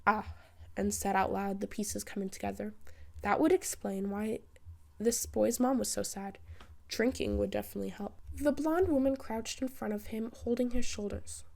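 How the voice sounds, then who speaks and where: A woman reading fan fiction as a narrator, in an expressive, wry storytelling style. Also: mid-range voice, normal speed, gravelly. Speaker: podfic narrator.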